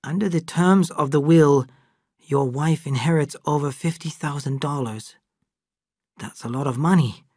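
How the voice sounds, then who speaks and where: A man voicing a thoughtful, wise household servant who reasons in a calm way. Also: fairly high pitch, unhurried, no room sound, studio quality.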